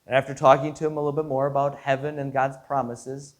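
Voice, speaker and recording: A man, a pastor giving a devotional story in an earnest, measured way, sometimes repeating words as he goes. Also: mid-range voice, little pitch movement. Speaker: Lutheran pastor.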